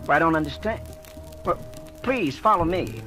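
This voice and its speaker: Male actor as elderly curator, genteel and fretful, high-pitched with lively swings, theatrical radio drama. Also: normal speed, lightly acted. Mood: courteous, flustered. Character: fussy elderly male museum curator, courteous and flustered. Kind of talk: radio-drama acting on a children's story record. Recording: vintage story record with dramatic music.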